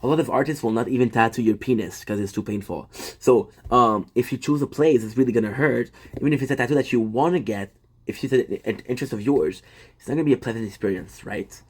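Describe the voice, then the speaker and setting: A man's voice: mid-range voice, quick pace, husky. Man, rambling casual stream chat, answers the chat. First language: French. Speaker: livestream host.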